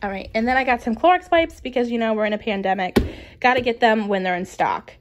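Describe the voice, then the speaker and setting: A young woman with a fairly high voice chats about her groceries in an upbeat, casual way, full of "um" and "like." She talks to a camera on her kitchen counter, with a faint hiss under the sound. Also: fast talker. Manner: chatty, upbeat, frequent "um" and "like". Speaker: lifestyle vlogger. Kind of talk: casual grocery haul chat. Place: home kitchen, indoors. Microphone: camera on counter, medium distance.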